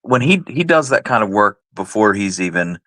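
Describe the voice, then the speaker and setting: Low-voiced man chatting as radio co-host. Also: very animated, moderate pace, fairly bright tone, dry recording, studio quality. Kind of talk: casual conversational commentary.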